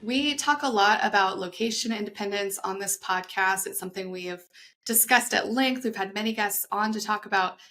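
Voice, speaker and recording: A woman's voice: medium pitch, brisk, bright, forceful tone, faint music behind. A woman hosting a podcast, reading an upbeat scripted intro and then asking her guest questions in an eager, conversational way. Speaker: podcast host.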